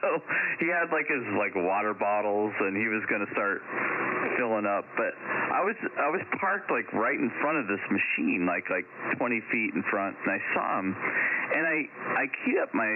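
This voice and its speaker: A low-voiced man rambles through casual stories. His voice comes over a CB radio transmission, thin and narrow, buried in heavy noise. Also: expressive, normal speed. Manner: rambling, heavy use of "like" and "you know". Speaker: CB radio operator. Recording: noisy narrowband CB radio audio.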